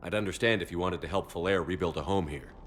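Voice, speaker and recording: A man voicing a deep, brisk space commander. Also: a little hiss or hum, close to an everyday voice. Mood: curt. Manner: curt, brisk, matter-of-fact. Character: brisk human male military commander. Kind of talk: video game cutscene acting. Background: loud orchestral score.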